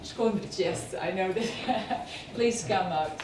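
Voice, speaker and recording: A woman with a Serbian accent hosting a film festival, speaking unscripted and haltingly as she searches for words. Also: mid-range voice. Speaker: film festival host introducing films and guests. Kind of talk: unscripted spoken introduction and interview. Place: echoey screening venue. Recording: echoey, noisy room, far from mic.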